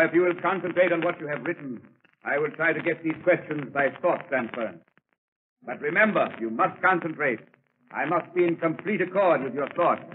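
An actor as a high-pitched, solemn stage mentalist. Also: male voice, natural voice. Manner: formal, theatrical, deliberate. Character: solemn, commanding stage mentalist addressing his audience. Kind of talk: 1930s radio drama acting. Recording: crackly, narrow-band 1939 radio broadcast.

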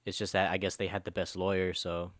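A young man trading jokes in a casual podcast chat, his voice sitting low. He speaks loosely, with plenty of slang. The recording is dry and close, made on a boom mic in a living room. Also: fairly quick, no echo. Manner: relaxed, jokey, slangy. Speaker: podcast co-host. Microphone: boom mic on arm.

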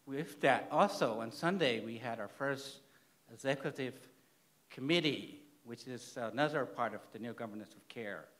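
A man with a Thai accent, the director of a charity, giving an earnest plenary speech at a conference, halting now and then to restart his words. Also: mid-range voice, fairly bright tone. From Thailand. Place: large conference hall.

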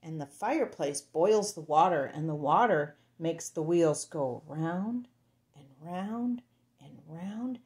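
A woman storyteller reads a picture book to little children at an unhurried pace. Her pitch moves around in a lively, sing-song way. She talks straight to her young listeners in a playful manner.

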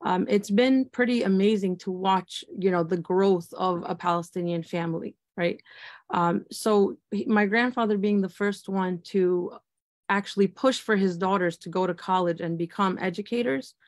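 A woman's voice: mid-range voice, normal speed, no room sound. A woman teacher on a panel, speaking earnestly and reflectively, with many fillers and restarts. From United States. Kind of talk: panel discussion answer.

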